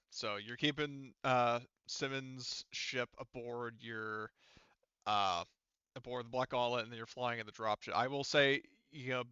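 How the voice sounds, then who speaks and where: A man acting as game master, talking players through the game in a loose, rambling way. His voice is bright and smooth, recorded dry and a little dull. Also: medium pitch, no room sound. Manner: rambling, conversational explaining. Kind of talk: casual narrating of a tabletop game.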